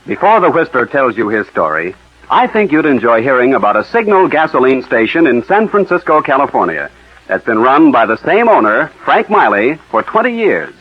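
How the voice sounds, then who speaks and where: A man's voice: medium pitch, sing-song, moderate pace, breathy edge. An American man announcing for a sponsor on 1940s radio, with a polished, emphatic sales delivery. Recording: dull, muffled 1940s radio recording.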